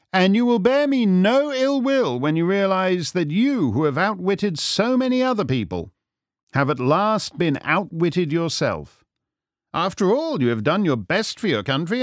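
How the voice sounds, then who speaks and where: A male narrator plays a suave English detective in an audiobook story. His lines are raised in pitch and swoop with lively inflection. He sounds urbane, wry and sure of himself, in a dry recording. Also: no room sound. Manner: urbane, measured, wry, formal. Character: suave, self-assured English gentleman detective, wryly triumphant. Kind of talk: audiobook character acting.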